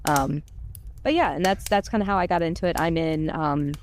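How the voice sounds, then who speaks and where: A woman's voice: deep voice, normal speed, a little hiss or hum. A woman chatting casually as a podcast voice actor, informal and self-effacing, rambling a little as she goes. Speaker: voice actor on an audio drama podcast. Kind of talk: casual chat.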